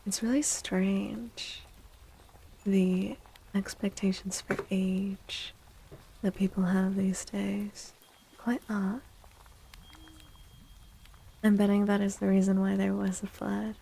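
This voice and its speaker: A woman speaking softly and breathily, often near a whisper, in an unhurried, gentle ASMR livestream chat. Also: mid-range voice, level tone, creaky, husky, rough recording. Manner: gentle, slow, unhurried, addressing chatters. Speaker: livestream host and tarot reader.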